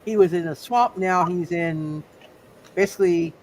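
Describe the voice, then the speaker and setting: A man running a live tabletop roleplay as game master, explaining casually in a halting way with repeated phrases. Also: high voice, slight background noise, rough recording.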